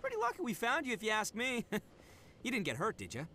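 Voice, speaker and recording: A man's voice: very high-pitched, huge pitch swings, fast, sharp tone, slight background noise, exaggerated voice. A man voicing a brash, boisterous young oni gang boss in a video game, cocky and informal. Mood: casual, confident. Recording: game audio with music behind.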